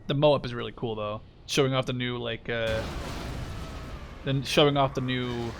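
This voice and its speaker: A man's voice: medium pitch, steady tone, moderate pace, slight background noise. A man in his twenties from the Netherlands giving casual, rambling, enthusiastic commentary on a video game. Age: 20s. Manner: enthusiastic, informal, swearing. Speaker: gaming video host.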